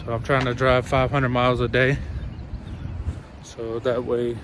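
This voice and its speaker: A man in his thirties or forties talking casually to his phone as a trucker vlogger, in a halting, matter-of-fact way. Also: medium pitch, little pitch movement. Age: 30s to 40s. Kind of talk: casual vlog talk. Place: truck cab and open parking lots outdoors. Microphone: phone at arm's length. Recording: noisy outdoor and truck-cab phone recording.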